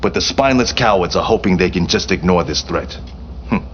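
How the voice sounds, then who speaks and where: A man's voice: sits low, animated, brisk, fairly bright tone, muffled recording, a little hiss or hum. A man acting a proud, vengeful Japanese prince in a game cutscene, speaking formally and solemnly with grandiose command. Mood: indignant, resolute.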